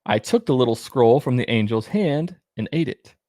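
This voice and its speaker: A man with a mid-pitched voice reads aloud as a narrator and host. He is plain-spoken and casually irreverent. The recording is dry, with hardly any room sound. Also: animated, normal speed, a little mellow, dry recording. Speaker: host reading and commenting on scripture.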